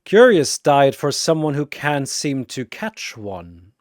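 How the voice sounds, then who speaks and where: A man's voice: on the high side, big pitch swings, slow, no echo, studio quality. A man voicing a theatrical masked avenger, formal, articulate and coolly mocking, in a battle story.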